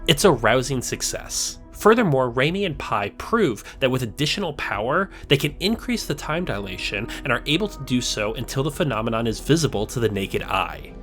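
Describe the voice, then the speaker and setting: A man narrating a scripted video essay, explaining a story step by step in a measured way. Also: medium pitch, big pitch swings, a bit bright, soft background music.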